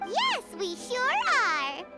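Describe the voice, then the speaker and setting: A woman voicing a small, excitable, talkative fairy-like girl in a video game, with a squeaky, childlike voice. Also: very slow, over loud music, heavily acted. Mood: excited. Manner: refers to self in the third person, exclamatory. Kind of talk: English video game dub acting.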